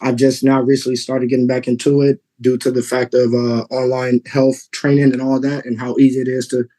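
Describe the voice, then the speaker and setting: A man in his thirties from Texas, answering a judge's questions in court politely and haltingly. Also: mid-range voice, little pitch movement, mellow. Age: 30s. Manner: polite, halting, many fillers. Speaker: litigant father.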